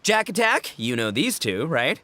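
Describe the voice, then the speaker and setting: A man's voice: fairly high pitch, very animated, unhurried, very noisy. A man voicing a boisterous, boastful young oni gang boss and goofy rocker in a video game, bombastic and slangy. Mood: excited. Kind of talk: animated video game voice acting.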